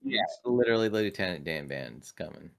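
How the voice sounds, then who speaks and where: This is a man with a deep, low-set voice. He talks casually as a player at a tabletop game. His explanations come haltingly, like unscripted chat. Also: animated, vocal fry.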